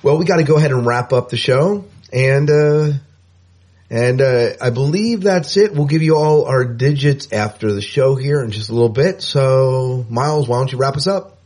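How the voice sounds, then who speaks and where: Animated male podcast host. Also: mid-range voice, normal speed. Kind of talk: casual podcast sign-off. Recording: music bed behind voice. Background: music.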